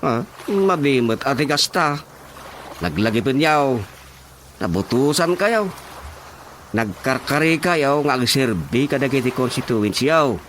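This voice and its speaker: A man's voice: fairly high pitch, a bit bright, a little hiss or hum. A man acting in an Ilocano radio drama as an exasperated, stern village chairman, scolding expressively. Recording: music playing behind the voice. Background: prominent background music.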